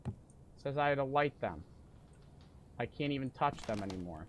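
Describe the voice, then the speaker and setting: A young man streaming a horror game, panicking, swearing and yelling in fright while reading notes aloud. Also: mid-range voice, expressive, normal speed, a little hiss or hum. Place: small room at home. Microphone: desk mic on stand.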